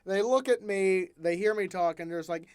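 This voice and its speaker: A young man from the American South without a Southern accent, hosting a casual chat, relaxed and chatty with lots of fillers and laughs. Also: high-pitched, moderate pace, clear, resonant tone, no room sound. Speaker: podcast host.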